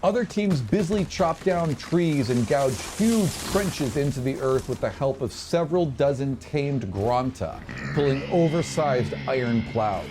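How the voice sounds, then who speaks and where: A man narrating a tabletop game as game master, with vivid, drawn-out descriptive delivery. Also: medium pitch, animated, music in the background. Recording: noisy, with ambient sound behind.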